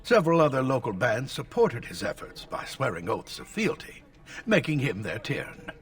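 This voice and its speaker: An actor playing an elderly scholarly tutor in a video game, lecturing formally and at length, stern and easily exasperated. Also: male voice, medium pitch, huge pitch swings, moderate pace, distant mic, soft background music.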